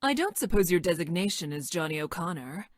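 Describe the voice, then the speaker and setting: A woman voicing a stranded time-traveling scientist in a video game, clinical and detached, speaking in stilted, formal, technical language with a deadpan delivery. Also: lowish voice, fairly quick, dry recording, studio quality. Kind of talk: scripted video game character lines.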